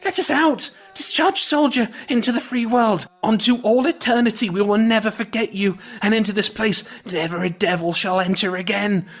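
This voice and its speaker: A man voicing panicked devils with a shrill, strained voice, wailing and pleading in a storytelling performance. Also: normal speed, few pauses. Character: shrieking panicked devils begging for mercy.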